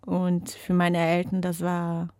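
Slow, laughing young woman. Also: on the low side, monotone, a little mellow, no room sound. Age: young adult. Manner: searching non-native phrasing, laughs often. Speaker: interview guest. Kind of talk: casual chat. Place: radio studio.

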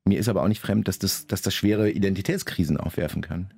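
A man with a low voice and a gravelly edge talks in a dark, mellow tone. He answers interview questions reflectively, often pausing and restarting his sentences. The sound is dry and close. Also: no room sound. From Germany, Lower Saxony. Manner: reflective, measured, with many fillers and restarts. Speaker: novelist, interview guest.

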